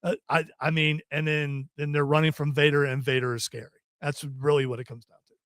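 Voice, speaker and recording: A man with a somewhat high voice hosts a laid-back chat, stumbling over his openings before his point comes out. The sound is dry and close to the mic. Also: flat delivery, a bit bright, no echo. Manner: halting, restarts often, easygoing. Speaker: podcast host. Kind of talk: casual chat.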